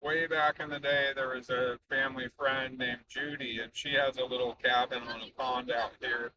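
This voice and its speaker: A man's voice: mid-range voice, level tone, normal speed, crowd noise, low-quality recording. A man vlogging in an offhand, wry, musing way. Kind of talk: casual vlog chat.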